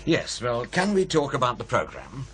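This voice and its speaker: A sceptical male presenter in a radio play. He is brisk, argumentative and set on his point, with a very mobile intonation. There is slight hiss in the recording. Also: medium pitch, huge pitch swings, fairly bright tone. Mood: insistent. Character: sceptical, determined male paranormal programme presenter. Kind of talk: radio drama dialogue.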